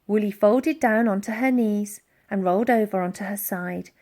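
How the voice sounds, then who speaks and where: A woman reading a children's storybook aloud as an expressive, dramatic narrator who acts out the sound words. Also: medium pitch, normal speed. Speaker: audiobook reader.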